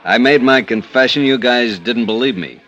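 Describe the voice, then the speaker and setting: An actor playing a defensive supper club manager giving curt, guarded answers in an old radio drama. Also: male voice, mid-range voice, moderate pace, fairly bright tone, highs cut off, close to an everyday voice. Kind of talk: 1950s radio drama acting.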